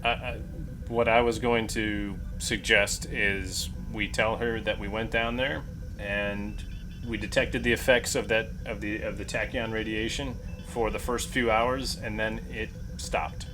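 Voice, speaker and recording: A man's voice: lowish voice, fairly even intonation, a little slow. A man playing a starship captain in a casual tabletop game discussion. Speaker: player acting as ship captain. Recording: clearly noisy background.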